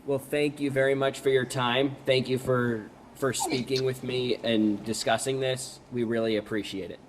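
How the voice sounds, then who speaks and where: A man with a mid-range voice asks a guest questions as a reporter. He is polite and a little hesitant. Steady noise runs under the recording. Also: mid-range voice, normal speed. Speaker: news reporter. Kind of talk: interview questions. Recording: noisy field recording.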